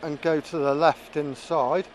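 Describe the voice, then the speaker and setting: A man's voice: fairly high pitch, flat delivery, unhurried, a little hiss or hum, low-quality recording. A man giving casual, musing running commentary as a vlogger flying a drone, thinking aloud as he goes. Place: outdoors.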